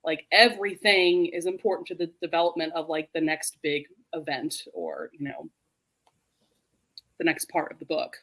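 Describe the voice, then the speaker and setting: A woman's voice: deep voice, normal speed, low-quality recording. Casual, chatty woman hosting a book discussion. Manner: animated, laughs readily while talking; informal, heavy use of "like". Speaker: host of a book readalong live show. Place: remote group video call.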